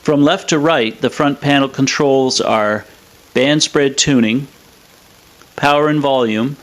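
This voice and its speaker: A man presenting a scripted technical explainer in a measured, matter-of-fact way. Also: medium pitch. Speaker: presenter of an electronics review.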